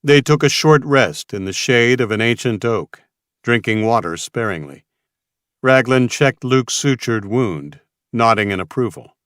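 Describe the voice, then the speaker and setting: A man's voice: medium pitch, animated, measured pace, fairly bright tone, no room sound, clean studio sound. A man reading a scripted story as a dramatic audiobook narrator.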